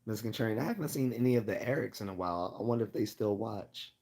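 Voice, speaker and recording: Deep-voiced man hosting a casual livestream. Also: fast, creaky, a little mellow. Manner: rambling, chatty, laughs often. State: losing his voice, clearing his throat. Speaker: livestream host.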